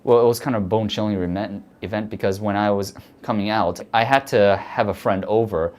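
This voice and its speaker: Low-voiced woman in her twenties, hesitant. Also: fairly quick, darkish tone. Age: 20s. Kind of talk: interview testimony.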